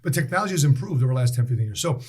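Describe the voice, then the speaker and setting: A man in his late forties, a guest in a casual interview, telling stories with animated emphasis and repeated phrases. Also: mid-range voice, racing, slightly rough. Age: 40s.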